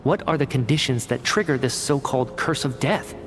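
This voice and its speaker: An actor playing an earnest, analytical researcher in a video game, articulate and inquisitive, reasoning methodically. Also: male voice, medium pitch, a little fast, fairly bright tone, lightly acted. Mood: thoughtful. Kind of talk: video game dialogue acting. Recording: studio voice under clear game music. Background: background music.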